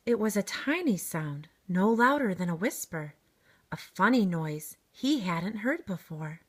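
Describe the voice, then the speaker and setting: A woman reading a children's storybook aloud in expressive narration. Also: mid-range voice, a little slow, husky. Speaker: storybook reader.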